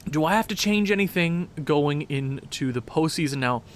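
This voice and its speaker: A man with a stuffed-up nose giving chatty, excitable commentary over a hockey video game. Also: a little high, a little hiss or hum. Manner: chatty, excitable, quick asides. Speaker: gaming commentator.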